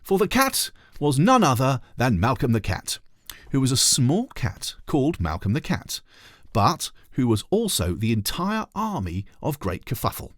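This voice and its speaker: A man reading a children's storybook aloud as a teacher, in an expressive narrating style. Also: mid-range voice, a little slow, no room sound, studio quality.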